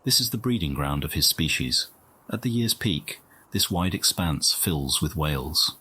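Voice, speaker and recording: A man performing a scripted nature-documentary narration in a game, with a solemn, measured, dramatic delivery. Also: very deep voice, lively intonation, sharp tone, husky, exaggerated voice. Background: music.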